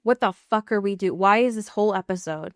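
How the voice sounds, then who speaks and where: A woman's voice: mid-range voice, a little fast, no room sound, studio quality. An American woman co-hosting a casual recap podcast, emphatic and sweary, often rambling and correcting herself as she goes. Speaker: podcast co-host. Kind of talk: casual TV and film recap chat.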